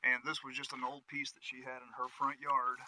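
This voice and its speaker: A man's voice: mid-range voice, completely flat delivery, brisk, background noise. A man giving a casual, folksy, conversational tour of his property outdoors, as a vlog host. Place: outdoors on wooded rural land. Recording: music behind the voice.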